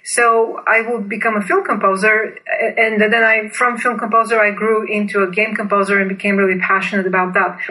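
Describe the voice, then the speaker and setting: A woman from Bulgaria, a native Bulgarian speaker, giving earnest, enthusiastic and deliberate explanations as an interview guest. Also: mid-range voice, flat delivery, bright, forceful tone, rough recording. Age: 30s or older.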